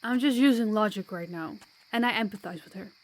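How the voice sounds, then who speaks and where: A woman's voice: mid-range voice, brisk, natural voice, low-quality recording. Chatty, kind female vampire played by a woman. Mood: earnest, reassuring. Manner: chatty, reassuring, playful. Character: sociable, kind, teasing female vampire guide. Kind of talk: audio roleplay acting.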